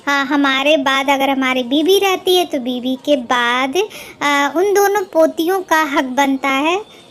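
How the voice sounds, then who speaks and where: Very high-pitched woman vlogger, slow and halting. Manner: halting, repetitive delivery. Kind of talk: informal news-gossip commentary to camera.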